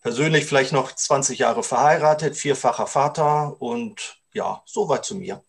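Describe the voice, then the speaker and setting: A man's voice: medium pitch, moderate pace, creaky, slightly rough. A man in his forties from Hannover, a financial advisor, introducing himself casually with some hesitations. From Hannover, Germany.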